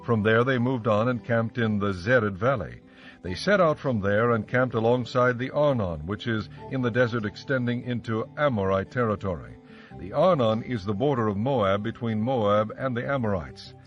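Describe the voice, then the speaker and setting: A man narrating scripture from a script in a solemn, measured way. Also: mid-range voice. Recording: slightly muffled studio track with music.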